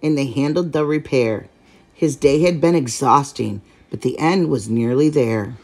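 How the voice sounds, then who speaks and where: A woman reading a children's picture book aloud, giving the rhymes a measured, expressive delivery. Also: a bass voice. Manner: measured, expressive rhyming narration. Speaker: storyteller.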